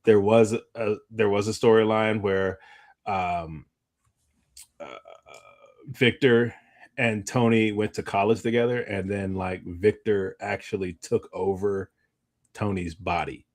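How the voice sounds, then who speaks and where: Low-voiced man, rambling casual talker. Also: steady tone, darkish tone. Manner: rambling, halting, trailing off mid-thought. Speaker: podcast co-host. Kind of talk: casual movie chat.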